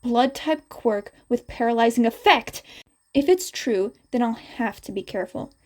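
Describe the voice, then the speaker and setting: Slow-paced woman reading a story. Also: fairly high pitch, dark tone. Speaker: podfic narrator. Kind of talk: scripted storytelling narration, reading fan fiction aloud.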